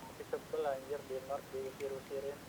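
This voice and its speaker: Dude gamer, mid voice, slangy casual talk, rumbly noise behind. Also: male voice, completely flat delivery, fairly quick. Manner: colloquial, slangy. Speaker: player in an online roleplay game. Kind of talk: casual in-game chat. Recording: clear rumbling background noise.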